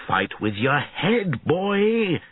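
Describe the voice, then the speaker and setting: A man voicing a principled older knight in a storybook, loudly admonishing and pleading. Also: fairly high pitch, sing-song, very slow, breathy edge, no room sound, voice pushed up, exaggerated voice. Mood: hurt, admonishing. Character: stern, principled older knight, the boy king's mentor. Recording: narrow, phone-like lo-fi audio.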